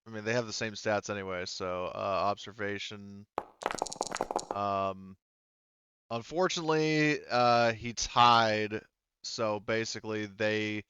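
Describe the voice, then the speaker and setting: A man working as game master, talking casually through tabletop rules in a halting way, thinking aloud. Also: fairly low pitch, fairly even intonation, sharp tone, smooth, dull audio.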